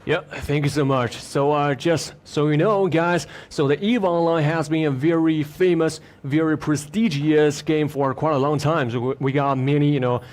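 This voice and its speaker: A man's voice: a little high, fairly even intonation, moderate pace, hardly any pauses, darkish tone. A man hosting a live stream in a studio, presenting in an upbeat, chatty way with lots of filler phrases. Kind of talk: upbeat presenting to an online audience.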